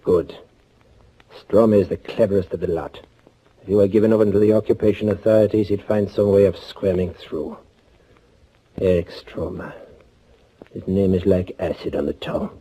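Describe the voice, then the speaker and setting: An actor playing a bitter young underground avenger in a 1940s radio thriller, sardonic and deliberate, with a husky voice. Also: male voice, low-pitched, fairly even intonation, dark tone. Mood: bitter, intense. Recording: muffled 1940s radio recording.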